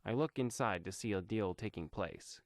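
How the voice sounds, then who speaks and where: Male narrator, scripted first-person fiction, lower pitch, dry recording. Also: moderate pace, no echo.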